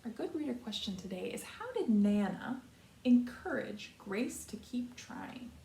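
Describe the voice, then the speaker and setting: Animated American woman teaching young children. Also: fairly high pitch, vocal fry. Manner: simple, deliberate explanations with repeated phrasing. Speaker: kindergarten teacher. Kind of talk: read-aloud lesson for young children. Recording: roomy echo, distant mic, faint noise.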